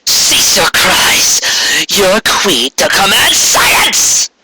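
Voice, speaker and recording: Man voicing an imperious high-pitched tyrant pony queen. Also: big pitch swings, extremely slow, sharp tone, slight background noise, heavily acted, rough recording. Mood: imperious command. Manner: grandiose, commanding, archaic speech.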